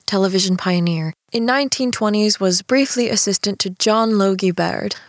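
An actress playing a quiet, geeky designer in an audio drama, reflective and wry in a conversational way. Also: female voice, medium pitch, no echo, clean studio sound, close to an everyday voice. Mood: reading aloud. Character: quiet, geeky woman designer narrating her story. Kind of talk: first-person audio drama narration and dialogue. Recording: music under the voice.

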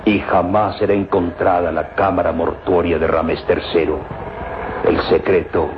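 Low-voiced, grave explorer father. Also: little pitch movement. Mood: solemn. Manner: deliberate, theatrical, brooding. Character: older father, grave, pensive tomb explorer. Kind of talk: old radio drama acting. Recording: dull, noisy vintage radio recording.

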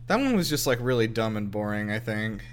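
A man's voice: fairly low pitch, very animated, brisk, slight background noise. A man hosting a casual group movie review chat in a sarcastic, sweary way. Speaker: host of a movie reaction show.

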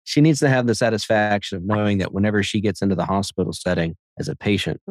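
A man's voice: lowish voice, fast, no echo. A man in his thirties co-hosting a podcast, joking with his co-host and wrapping up the episode in an upbeat way. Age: 30s. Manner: teasing, upbeat, wraps up the show. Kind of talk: podcast conversation.

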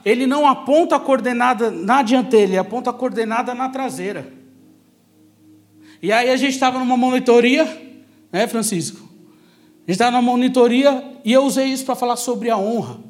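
Guy from são paulo preaching, really high voice, chatty but emphatic, tells testimonies and repeats key lines. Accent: Brazilian, São Paulo. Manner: conversational, emphatic, repeats key phrases for effect. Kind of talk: sermon with personal testimony.

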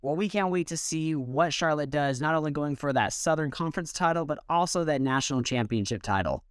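A man hosts a sports interview show. His voice sits a little higher than most men's as he puts questions to a guest and links the segments. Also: fairly quick, clear, resonant tone, dry recording, studio quality.

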